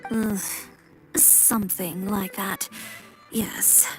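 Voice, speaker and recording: A woman's voice: medium pitch, fairly even intonation, drawn-out words, music in the background, poor audio. An actress playing a confident, businesslike young female boutique owner in an anime video game, matter-of-fact and dryly curt. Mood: assured.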